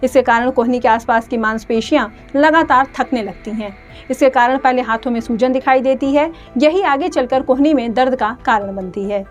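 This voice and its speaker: A woman's voice: high voice, moderate pace, soft background music. A woman presenting a scripted news bulletin as a radio newsreader.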